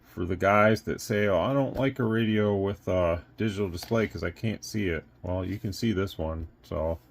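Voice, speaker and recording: A man's voice: sits low, moderate pace, vocal fry, slight background noise. A man giving a casual, unscripted product demonstration as a reviewer and seller, rambling and thinking aloud as he goes.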